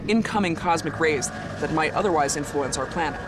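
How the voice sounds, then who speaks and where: Guy with a highish voice explaining science in an interview, music behind. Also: fairly quick. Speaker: expert interviewee.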